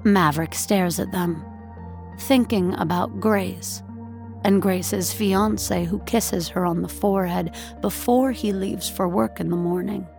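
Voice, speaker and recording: A woman narrating a dramatic audio story in a measured, deliberate, literary way. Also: mid-range voice, steady tone, gravelly, darkish tone. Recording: studio narration over faint music.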